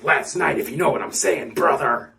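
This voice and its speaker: A man's voice: fairly high pitch, moderate pace, creaky, sharp tone, distant mic. A man cutting a parody wrestling promo, all bluster and bravado, tacking "brother" and "dude" onto his lines. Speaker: fan wrestling promo performer. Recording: roomy echo, off-mic.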